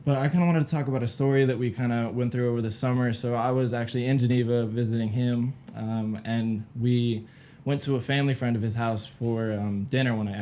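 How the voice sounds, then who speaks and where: A man's voice: mid-range voice, warm tone, a little hiss or hum. A man hosting a podcast in a loose, casual way with lots of fillers. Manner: loose, filler-heavy talk. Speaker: podcast host.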